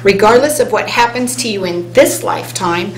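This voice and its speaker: Earnest woman reading on a conference panel. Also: mid-range voice. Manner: earnest, inspirational, speeds up and slows for emphasis. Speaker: hypnosis panelist. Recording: roomy hall sound, faint hum.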